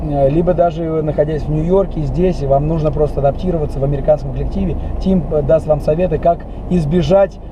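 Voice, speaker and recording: A man with a Russian accent talks as an informal interviewer, often pausing mid-thought. His voice sits a little high, and the recording is dull, with clear noise behind him. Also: steady tone. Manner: halting, hesitant phrasing. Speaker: interviewer introducing an accent coach.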